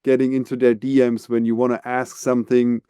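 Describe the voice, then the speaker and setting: Smooth-voiced earnest male host. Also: mid-range voice, monotone, dark tone, no echo, studio quality. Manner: earnest, advising, measured with fillers. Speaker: podcast host. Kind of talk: casual interview conversation.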